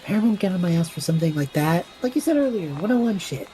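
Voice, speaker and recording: A woman's voice: lowish voice, animated, fast, warm tone, faint music behind, close to an everyday voice, rough recording. A woman voicing a cocky, flippant young male student adventurer in an audio drama, breezy, sarcastic and slangy. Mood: showing off.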